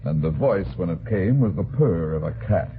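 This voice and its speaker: Tough, wry radio private eye; low-pitched, dry delivery, 1950s muffled broadcast sound. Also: male voice, moderate pace, background noise. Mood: measured narration. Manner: deadpan, dry, hard-boiled. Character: wry, tough, unhurried male private detective. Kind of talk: 1950s radio detective drama acting.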